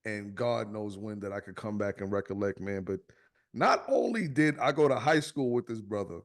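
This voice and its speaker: A man's voice: fairly low pitch, moderate pace. A man in his thirties hosting a podcast, telling stories in loose, slangy, profane chat. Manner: slangy, profane, animated storytelling. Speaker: podcast host.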